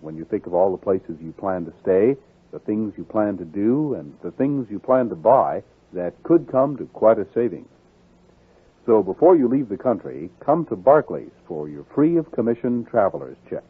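A male announcer delivers a scripted radio advertisement in a fairly low voice. It sounds slightly husky and muffled, through a narrow old radio recording. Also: very animated, moderate pace. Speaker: commercial announcer.

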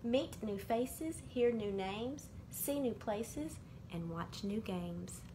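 A middle-aged woman reading a rhyming children's picture book aloud in a measured, sing-song way, as bedtime stories from a bedroom. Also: a little high, animated, warm tone. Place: small indoor bedroom. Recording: clearly noisy amateur home recording.

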